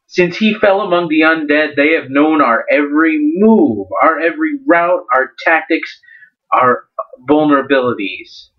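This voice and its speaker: A man voicing a towering, jovial yet ferocious sea captain in a slow, declamatory storybook reading. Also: fairly high pitch, lightly acted. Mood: urgent. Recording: dull lo-fi recording.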